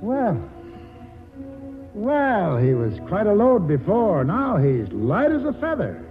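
A man's voice: high voice, huge pitch swings, slow, faint music behind, heavily acted. An actor playing a devoted old grandfather, folksy and tender, in a 1940s radio drama. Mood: relieved, spry. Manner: folksy, homespun. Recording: muffled vintage 1940s radio broadcast.

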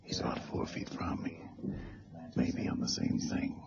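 An actor playing a hard-boiled, world-weary agent, speaking in terse, tough phrases in an old radio drama. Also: male voice, a little slow, lightly acted. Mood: tense, hushed. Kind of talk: 1970s radio drama acting. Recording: muffled, noisy old radio drama recording.

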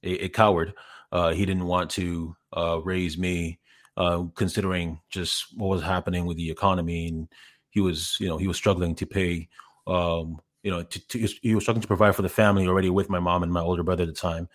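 A man in his thirties, from Ghana, talking as a podcast guest in a relaxed, halting way with many fillers and restarts. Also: sits low. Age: 30s. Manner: hesitant, many fillers and restarts, laughs easily. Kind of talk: casual interview chat.

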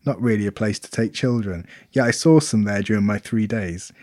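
A man co-hosting a casual podcast chat, reading out reviews and jumping in with quick laughs and swearing. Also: on the low side, a little mellow, dry recording, studio quality. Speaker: podcast co-host.

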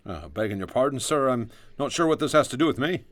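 Radio drama detective: wry, well-read, deferential to rank, animated medium-pitched voice. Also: male voice, quick pace, no room sound. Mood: wry, questioning.

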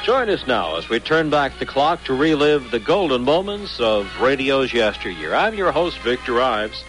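A man's voice: mid-range voice, very animated, normal speed. A man hosting a radio programme, reading a scripted introduction. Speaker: radio host. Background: music.

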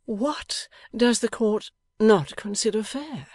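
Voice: mid-range voice, huge pitch swings, darkish tone, slightly rough, dry recording, studio quality, voice pushed up, heavily acted. A storybook reader voicing an airy society wife who is falsely innocent, archly playful and coquettish. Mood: gaily teasing. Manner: coquettish, wheedling, drawn-out delivery.

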